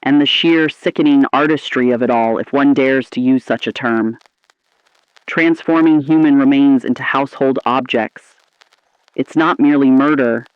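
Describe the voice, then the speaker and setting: Man doing an ominous 50s radio anchor, medium pitch, deliberate, theatrical, dull close dry sound. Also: clean tone, no room sound, lightly acted. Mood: grave, appalled. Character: ominous 1950s male radio anchor, gravely appalled. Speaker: radio host. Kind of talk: scripted 1950s-style radio dialogue.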